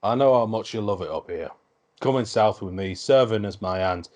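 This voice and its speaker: A man's voice: lowish voice, normal speed, darkish tone, lightly acted. Man playing a blunt, hearty king. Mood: earnest, comradely. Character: hearty, blunt, affectionate middle-aged king. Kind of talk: script table read.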